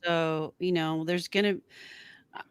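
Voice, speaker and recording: Low-voiced woman in casual chat. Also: steady tone, unhurried, clean tone, no room sound. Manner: folksy, says y'all. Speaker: live stream co-host.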